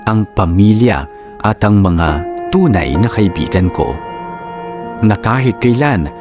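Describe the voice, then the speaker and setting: A man narrating a letter for a radio drama in measured phrases, with sentimental, dramatic delivery. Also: on the low side, expressive. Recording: clear music bed behind.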